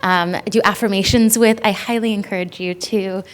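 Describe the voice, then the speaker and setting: A woman's voice: medium pitch, fairly even intonation, moderate pace. A woman facilitating a live workshop, speaking to the audience in an informal, encouraging way into a handheld microphone. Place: in-person conference session room.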